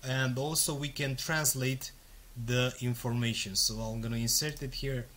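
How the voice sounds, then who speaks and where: A native Romanian man in his thirties records a screen walkthrough at his desk. His voice is bright and forceful at a middle pitch. He talks casually, step by step, with plenty of fillers. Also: fairly even intonation, moderate pace. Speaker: software demo presenter.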